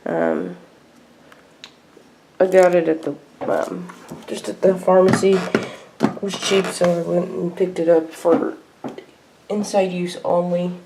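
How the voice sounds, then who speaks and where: A woman giving a casual, unscripted show-and-tell at home in a halting way, as a hobbyist vlogger. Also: fairly low pitch, little pitch movement, vocal fry. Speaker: weather radio hobbyist vlogger. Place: indoor room at home. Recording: noisy homemade video with clicks.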